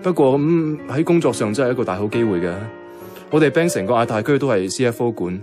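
An actor playing a self-effacing young bank worker in a radio drama, speaking casual, colloquial Hong Kong Cantonese. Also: male voice, mid-range voice, animated, fast talker, close to an everyday voice. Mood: gentle, hesitant. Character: soft-hearted, self-effacing young male bank worker, secretly devoted. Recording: music playing behind the voice.

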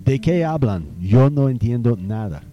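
A man's voice: medium pitch, expressive, unhurried, muffled recording, faint music behind, natural voice. A Colombian man playing a proud, formal nineteenth-century road builder in an amateur radio drama, stately and long-winded. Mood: puzzled.

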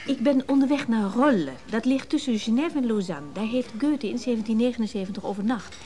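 Actress as a smitten well-heeled woman, soft mellow tone, fairly high, coaxing, radio play. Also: fairly quick, soft background music, natural voice. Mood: breezy. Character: smitten, forward, well-heeled married woman pursuing an older man. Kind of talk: radio drama acting.

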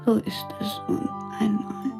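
An actress playing a frail, dying wife in a radio drama, faint and calmly resigned. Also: female voice, a little slow, close to an everyday voice. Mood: frail resignation. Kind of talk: audio drama dialogue. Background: music.

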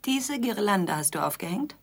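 An actress playing a needling, aggrieved bride in a radio drama, with a pointed, dryly reproachful way of talking. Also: female voice, medium pitch, sing-song, moderate pace, vocal fry. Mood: challenging, needling. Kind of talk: theatrical radio drama dialogue.

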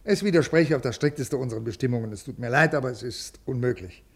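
A man's voice: mid-range voice, fast talker, slightly rough. An actor playing a rigid, ailing male bureaucrat in a 1950s radio play, officious, formal and brusque. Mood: curt, impatient.

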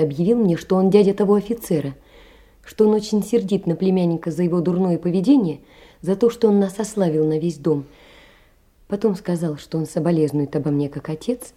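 An actress in an audio drama playing a distressed but proud young poor woman, pleading earnestly in a soft, hushed voice. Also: fairly low pitch, natural voice. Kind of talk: theatrical audio drama letter monologue.

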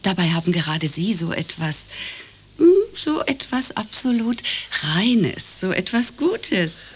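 An actress playing an overbearing, prim hostess who swings from cooing to indignant scolding in a satirical radio play. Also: female voice, mid-range voice, sing-song, takes it slowly, breathy edge, heavily acted. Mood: cooing, insistent. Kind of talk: German radio play acting, satirical grotesque.

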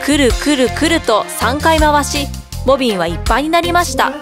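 A woman narrates a bedtime fairy tale with expressive storytelling. Her voice is high and lively, rising and falling freely. Clear music plays behind her. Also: fairly quick. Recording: background music.

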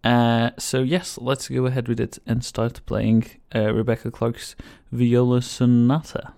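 A man's voice: mid-range voice, little pitch movement, measured pace, vocal fry, no echo, studio quality. A man whose first language is Portuguese, hosting a casual radio show and rambling hesitantly, often repeating words. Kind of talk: casual commentary over music. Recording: loud music playing under voice. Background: classical viola and piano music.

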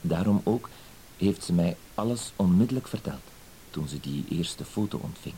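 Meek cuckolded husband, lowish mellow voice, hesitant, apologetic, wordy, radio drama, faint tape hiss. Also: male voice, expressive, normal speed, poor audio. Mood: earnest, confiding. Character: timid, pleading, dutiful cuckolded husband, wounded dignity. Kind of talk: Dutch-language radio play acting. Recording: hissy old radio play recording.

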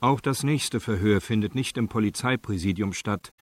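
Casting: male actor as factual crime narrator, very low voice, sober scripted delivery. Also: moderate pace, no room sound, lightly acted. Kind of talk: scripted documentary-like radio narration.

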